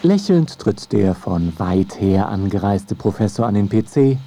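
A man's voice: fairly low pitch, animated, a little slow, warm tone, slight background noise. A man narrating a scripted radio feature in a wry storytelling way.

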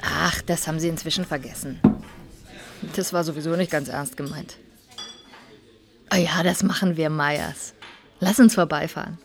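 An actress playing an eager, persuasive wife in a radio drama, speaking in a conversational, coaxing manner. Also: female voice, deep voice, fairly even intonation, normal speed, long pauses.

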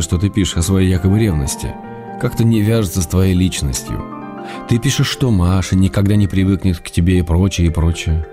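An actor playing a fond, gently chiding middle-aged writer, reading intimate letters to his wife in measured tones for a radio play. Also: low voice.

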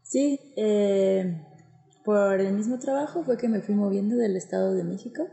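Echoey, mellow-voiced central Mexican woman. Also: medium pitch, moderate pace, vocal fry. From State of Mexico, Mexico. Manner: hesitant, searching for words. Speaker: interview guest, architect. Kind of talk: conversational interview answers. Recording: very echoey, reverberant room sound.

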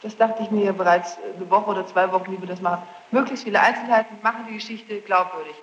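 A woman's voice: mid-range voice, steady tone, quick pace. A young woman giving spontaneous testimony as a witness, defensive and repeating herself. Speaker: witness under questioning. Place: large echoing courtroom. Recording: dull, noisy archive courtroom tape, echoey hall.

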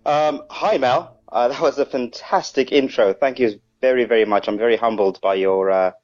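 A man's voice: medium pitch, normal speed. A man from Zimbabwe, a guest on a radio interview, answering casually and hesitantly, with many ums and restarts. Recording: dull lo-fi remote line.

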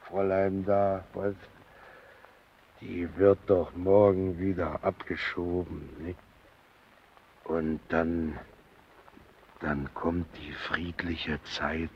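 An actor playing a gruff, suspicious, domineering man in a German radio drama, halting and insistent, on a dull recording. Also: sits low, a bit bright, a little hiss or hum, heavily acted. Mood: brooding, scornful. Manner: halting, searching for words, insistent.

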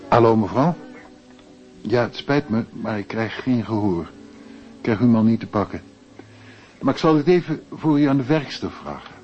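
A man's voice: mid-range voice, animated, moderate pace, pauses often, darkish tone, lo-fi sound, soft background music, lightly acted. An actor playing a dry, muttering night porter who answers an office switchboard in a radio play. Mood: polite.

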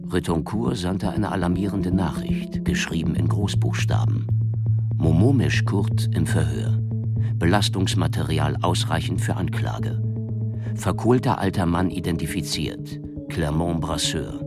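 A man with a very deep voice narrates a scripted radio crime drama. Loud music plays underneath his words. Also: unhurried.

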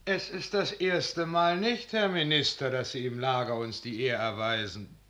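Sharp, blunt, proud field marshal. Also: male voice, medium pitch, unhurried. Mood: sardonic, biting. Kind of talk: 1950s German radio drama verse acting.

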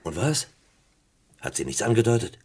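An actor playing a stern, impatient British police interrogator in a German radio crime play, with a commanding, sarcastic way of questioning. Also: male voice, fairly low pitch, big pitch swings, normal speed, husky. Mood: stern, insistent.

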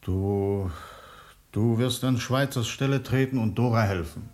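A man's voice: medium pitch, measured pace, a little mellow, echoey room, natural voice. A man acting the resolute leader of an underground revolutionary cell in a radio play, grave and emphatic.

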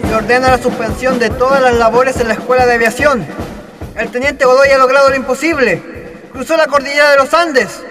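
An actor playing a solemn, formal official in a radio drama, speaking ceremonially. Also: male voice, extremely high pitch, steady tone, brisk. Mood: solemn, announcing. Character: formal military or official dignitary, solemn, honoring a hero. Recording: crowd and music behind the voice.

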